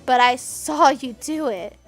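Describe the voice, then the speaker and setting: A woman's voice: high-pitched, slow, faint music behind, low-quality recording. A woman voicing a proud young maid who protests being falsely accused. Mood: indignant. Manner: indignant, protesting.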